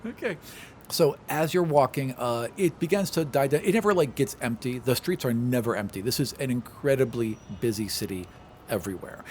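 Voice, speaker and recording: A man with a mid-pitched voice runs a tabletop fantasy game. He describes scenes conversationally and improvises as he goes, with clear noise behind him. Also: normal speed, darkish tone. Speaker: game master. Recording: clearly noisy recording.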